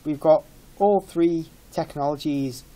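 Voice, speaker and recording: Higher-voiced man, mellow, explaining tech. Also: slow pace, low-quality recording. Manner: explanatory, matter-of-fact. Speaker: product demonstrator. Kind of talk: informal screen-share tech walkthrough.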